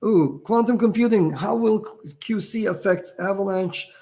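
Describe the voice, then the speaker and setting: A man's voice: high voice, normal speed, gravelly, darkish tone. A middle-aged man who is losing his voice and feeling tired, explaining technical topics in a casual way and thinking aloud with many fillers and restarts. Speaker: founder answering viewer questions.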